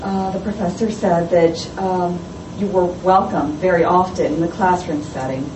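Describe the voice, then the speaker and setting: A woman's voice: lowish voice, steady tone, moderate pace. A woman in the audience asking a question, hesitant and searching for words. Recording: echoey room, distant mic, noisy.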